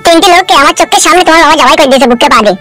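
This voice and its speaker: Man voicing a fast-talking timid cartoon simpleton. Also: very high-pitched, bright, forceful tone, faint music behind. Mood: fearful protest. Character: timid, simple-minded married man, easily frightened. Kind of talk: amateur cartoon comedy dubbing.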